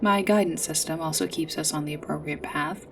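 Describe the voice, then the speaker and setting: A woman playing a polite android guide in a sci-fi ASMR roleplay, speaking in a formal, precise, measured way. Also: deep voice, distant mic, a little hiss or hum. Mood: formal, helpful. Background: music.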